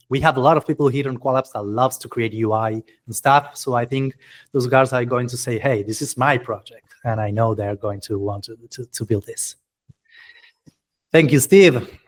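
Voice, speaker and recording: Enthusiastic man hosting, English speaker. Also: mid-range voice. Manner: enthusiastic, chatty, non-native English phrasing. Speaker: meetup host and presenter. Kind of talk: casual, informal event presentation.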